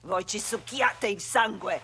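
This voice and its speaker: A woman's voice: medium pitch, measured pace, lightly acted. Outraged Italian matriarch played by a woman, defiant and cursing, crime game drama. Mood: furious. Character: furious, defiant Italian mother cursing mobsters.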